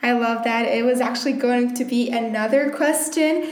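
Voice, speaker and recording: A woman's voice: fairly high pitch, normal speed, reverberant. A woman hosting an interview, asking friendly, loosely rambling questions. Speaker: podcast host. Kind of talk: casual interview.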